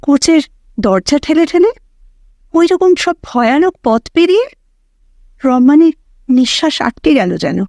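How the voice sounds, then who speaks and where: A woman reads a story aloud in a fairly high voice. Her narration is dramatic and expressive, giving life to what the characters think and say. The sound is dry, with no room around it. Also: a little slow, pauses often, no echo. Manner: expressive, voicing characters' thoughts and dialogue. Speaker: audiobook narrator.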